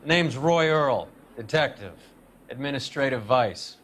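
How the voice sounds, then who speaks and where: Smug American vice detective. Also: male voice, medium pitch, animated, a bit bright. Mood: smug, insinuating. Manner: smug, deliberate, insinuating. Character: sly, insolent American vice detective, scheming opportunist. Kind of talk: video game dramatic acting.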